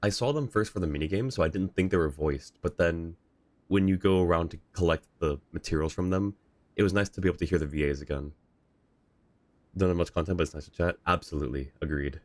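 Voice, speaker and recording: Low-voiced man streaming a game. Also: fast, a little mellow, dry recording. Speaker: game livestreamer. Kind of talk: casual stream chat and reactions.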